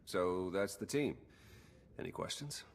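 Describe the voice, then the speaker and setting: An actor playing a wry, weary intelligence operative in a video game, with a casual, dry, understated delivery. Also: male voice, low-pitched, animated, unhurried, a little hiss or hum. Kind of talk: scripted game character dialogue.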